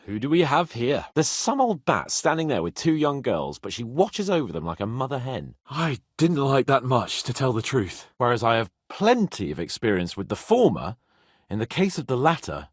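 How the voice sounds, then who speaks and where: Brash young nobleman, husky, wildly expressive. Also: mid-range voice, moderate pace, dry recording, studio quality. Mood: cocky teasing. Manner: cocky, sardonic, expressive. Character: brash young male medieval nobleman, haughty, teasing, hot-headed. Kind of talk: dramatic video game voice acting.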